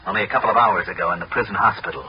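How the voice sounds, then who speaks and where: An actor playing a tough insurance investigator in an old radio drama, clipped and no-nonsense. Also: male voice, lowish voice, rapid-fire, close to an everyday voice. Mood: hard, challenging. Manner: clipped, hard-boiled. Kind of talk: 1960s radio drama acting with first-person narration. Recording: muffled, very noisy old radio recording.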